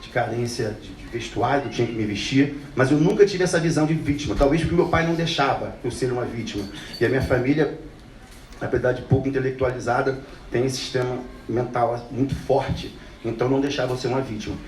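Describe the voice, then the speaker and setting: A man's voice: mid-range voice, fast, a little mellow. A man from Brazil, speaking bluntly and passionately to an audience and repeating phrases for emphasis. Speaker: speaker telling his life story. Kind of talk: earnest talk to an audience. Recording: roomy, distant mic with faint noise.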